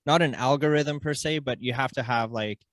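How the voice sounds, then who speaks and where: A man's voice: medium pitch, brisk, a little hiss or hum. A man chatting casually as a podcast co-host and putting questions to a guest. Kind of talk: casual chat interview.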